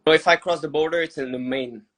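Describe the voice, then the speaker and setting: A man in his twenties from Montreal with a Quebec French accent, whose first language is French. He is a podcast guest who speaks English haltingly, with many hesitations. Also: a little high, steady tone, a bit bright. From Montreal, Canada. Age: 20s. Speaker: guest, MMA fighter. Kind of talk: casual podcast interview.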